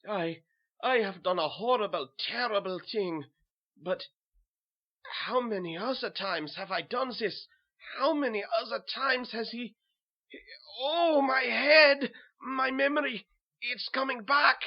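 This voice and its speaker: A man with a put-on German accent playing a dazed, haunted soldier turned butcher's helper in a dramatic horror reading, halting and brooding. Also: very high voice, voice pushed up. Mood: horrified, anguished. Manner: halting, repetitive, brooding first-person delivery. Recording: dull lo-fi home recording.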